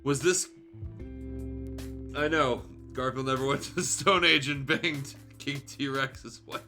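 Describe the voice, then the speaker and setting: A man's voice: medium pitch, fairly even intonation, slow, pushed and bright, soft background music. A man livestreaming a read-aloud of fan fiction, breaking off for sardonic, sweary, off-the-cuff commentary.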